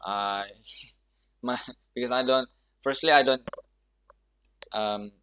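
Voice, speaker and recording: A young man with an Indonesian accent giving casual, halting advice about an online interview, with frequent restarts. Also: mid-range voice, steady tone, pushed and bright, clear, resonant tone. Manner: halting, many restarts and laughs. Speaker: vlogger sharing scholarship interview tips. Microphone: laptop or external mic.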